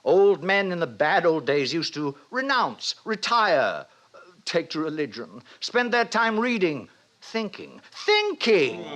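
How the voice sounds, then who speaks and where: A self-satisfied male director instructing his students in old-time radio drama. His voice sits high and rings out brightly, swinging widely as he declaims each lesson with pompous relish. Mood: grandly self-satisfied. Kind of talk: 1950s radio drama acting. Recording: vintage 1950s radio recording.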